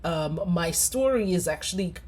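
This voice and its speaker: A woman in her forties from Zimbabwe, a clinical psychologist telling her personal story with many pauses and fillers. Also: lowish voice, expressive, darkish tone, husky. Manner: reflective, many ums and uhs.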